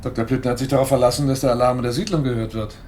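A man's voice: medium pitch, fairly even intonation, very fast, darkish tone, slight background noise, close to an everyday voice. A man acting in a German radio drama as a wary, insistent security man who questions and argues. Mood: concerned. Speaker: actor in a radio play.

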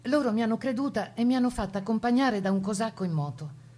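A woman's voice: a little high, quick pace, darkish tone, natural voice. A woman playing a plucky Italian Resistance partisan, telling her wartime memories in the first person as a dramatized radio testimony. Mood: tense recollection. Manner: composed first-person storytelling.

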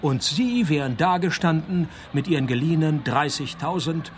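An actor playing a wry, cynical private detective who narrates a parody noir radio play in a laconic, deadpan way. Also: male voice, a little high, normal speed, slight background noise, natural voice. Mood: confronting.

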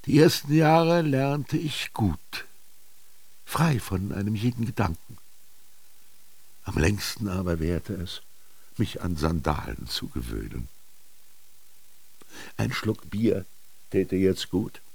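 A wry old Egyptian peasant looking back on his life in a radio drama. The voice is very low and full of gravel. He philosophizes in a slow, earthy way, with dramatic pauses. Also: no room sound, exaggerated voice. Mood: wry reminiscing. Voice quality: gravelly, husky. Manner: slow, brooding, expressive storytelling with dramatic pauses. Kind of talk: literary radio drama monologue.

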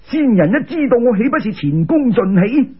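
Man acting an anxious, husky, pleading disciple. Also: extremely high pitch, sing-song, moderate pace, dull audio, pitched above the performer's own voice, full character voice. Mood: worried. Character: earnest, anxious male disciple seeking immortality.

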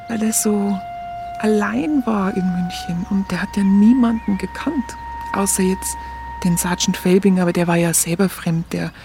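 A woman playing a tender, earnest young Munich woman with a Bavarian accent, confiding haltingly in a radio drama. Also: mid-range voice, a little mellow, slight background noise, close to an everyday voice. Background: music.

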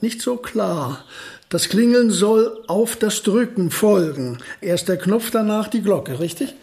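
An actor in a German radio play voicing an elderly genius physicist, courteous, modest and quietly stubborn, with a deliberate, precise, formal delivery. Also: male voice, high voice, animated, heavily acted. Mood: pedantic, resolute.

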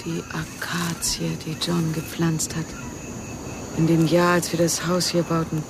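An actress playing a curt, wistful lady returning to her old home in a German radio play, with a creaky voice. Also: deep voice, monotone, measured pace. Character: brusque, melancholy lady returning to her former house. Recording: outdoor ambience with clear background noise.

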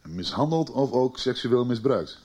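An actor in a Dutch radio soap playing a cautious police officer, measured, formal and sceptical. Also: male voice, medium pitch, big pitch swings, a little mellow, slightly rough. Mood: measured, placating.

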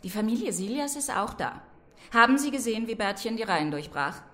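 A woman's voice: on the high side, sing-song, a little fast, fairly bright tone. An actress playing a nervous, envious middle-aged shopkeeper's wife in a radio drama, gushing and catty as she gossips. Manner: gushing, catty small talk.

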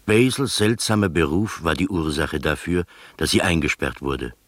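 A man acting as the sober first-person narrator of a radio play, telling the story in measured literary prose. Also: low-pitched, lively intonation, no echo. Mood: sober, wondering. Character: male first-person storyteller, sober, quietly astonished companion.

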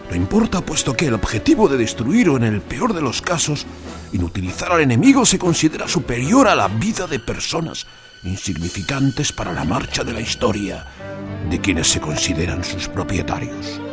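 A male radio narrator reads a scripted essay with emphatic irony. His mid-pitched voice moves up and down in a very lively way. Music is clearly audible behind him.

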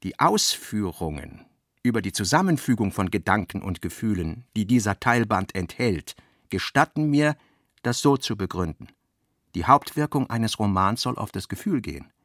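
A man reading an author's literary notes for a radio play in a deliberate, reflective way. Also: fairly low pitch, very animated, fairly bright tone, no echo, studio quality.

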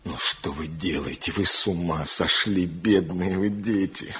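Pleading old father, lowish halting voice, lamenting radio-play delivery, echoey sound. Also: a bit bright. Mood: pleading, grieved. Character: distressed, pleading kindly old village father. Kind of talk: Soviet radio drama acting. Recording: dull echoey vintage radio recording, crowd.

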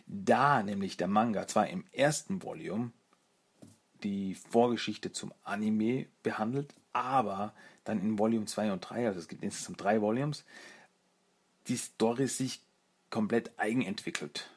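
A man's voice: lowish voice, unhurried, breathy edge. A man hosting a fan review show, talking casually and self-deprecatingly, thinking aloud as he goes. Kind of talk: casual solo review monologue.

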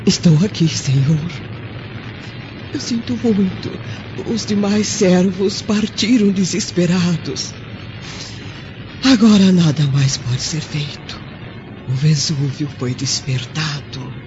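A woman playing an old, devoted slave woman in a radio drama, speaking with slow reverence. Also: on the low side. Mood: sorrowful. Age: older. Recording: dull lo-fi audio under loud music.

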